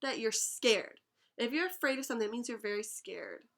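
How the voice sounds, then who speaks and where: Young teacher lady doing storytime, bright slightly high voice, stops to ask the kids stuff. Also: lively intonation, brisk, gravelly. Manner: patient, explains words and asks questions. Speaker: teacher reading to class. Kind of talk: read-aloud storytime lesson.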